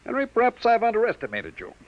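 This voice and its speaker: Brusque doubting bureau chief, swings up and down a lot, old radio play. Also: male voice, very high voice, wildly swooping pitch, fast talker, dull audio, heavily acted. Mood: grave. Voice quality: brusque, commanding. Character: skeptical, authoritative middle-aged male bureau chief. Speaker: boss. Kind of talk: 1950s radio drama acting. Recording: old radio broadcast with faint hiss.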